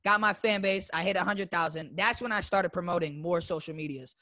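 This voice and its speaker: A man's voice: high voice, a little fast, sharp tone, no echo, clean studio sound. A young man from Florida, a guest YouTuber, talking in a loose, slangy way with lots of fillers. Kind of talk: casual interview chat.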